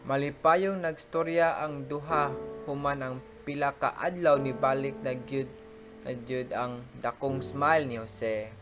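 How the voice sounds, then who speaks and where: A young man narrating a student radio drama in a plain, steady reading voice. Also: mid-range voice, unhurried. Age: young adult. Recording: music playing behind. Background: music.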